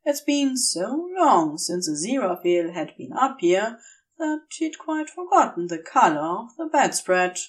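Slow, expressive woman reading fiction. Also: medium pitch, distant mic. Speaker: podfic narrator. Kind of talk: scripted fiction narration.

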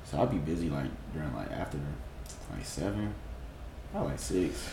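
A man's voice: a bass voice, very animated, brisk. Laid-back young man, echoey room. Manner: laid-back slangy banter, laughs often. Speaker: podcast host. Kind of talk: casual podcast chat with friends. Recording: echoey room with background noise.

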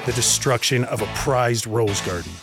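A man's voice: mid-range voice, moderate pace, over loud music, lightly acted. A man playing a solemn narrator, telling a dramatic scripted radio mystery. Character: solemn storyteller of a crime tale.

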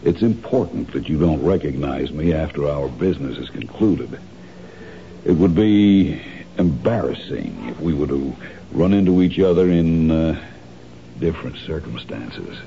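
Casting: a smooth, condescending crook with a low, very dark voice, 1970s radio play, dull broadcast sound. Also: male voice, expressive, heavily acted. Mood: reassuring. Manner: suave, patronizing, measured. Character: smooth, polite, scheming male criminal mastermind. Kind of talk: 1970s radio drama acting. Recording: dull lo-fi old radio broadcast, faint hiss.

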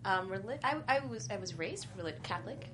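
A woman actor talking as a guest on a fan show, telling lively stories with lots of laughter. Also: mid-range voice, fairly quick, noisy background. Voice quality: laughs often, lively and expressive. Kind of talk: casual chat, animated storytelling.

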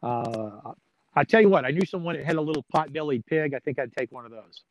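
A man's voice: a little high, a little fast, highs cut off, a little hiss or hum. A man talking casually as an interview guest, folksy and plain-spoken, with a joking streak. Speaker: sports play-by-play announcer as interview guest.

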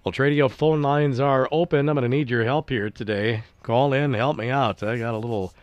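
A middle-aged man hosting a local radio call-in show in a studio, hoarse and raspy from congested sinuses, talking in an easygoing, chatty way. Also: medium pitch, moderate pace, no room sound, muffled recording. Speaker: radio host. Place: small-town radio studio. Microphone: studio microphone.

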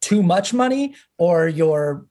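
A man's voice: high voice, lively intonation, slow pace, mellow. A man in his thirties, a musician talking casually on a podcast, rambling with lots of fillers. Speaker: musician guest.

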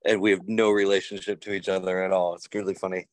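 A man from Chicago, a musician playing a quiz game, chatting in a rambling, halting way with lots of fillers over a video call. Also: low voice, flat delivery, dry recording. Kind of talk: casual chat.

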